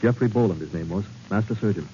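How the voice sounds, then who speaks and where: Man playing a low, mellow young intern. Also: lightly acted. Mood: calm, resolute. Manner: earnest, measured, clinical. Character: brave, level-headed young male medical intern. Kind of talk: 1940s radio acting. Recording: dull, hissy 1940s radio recording.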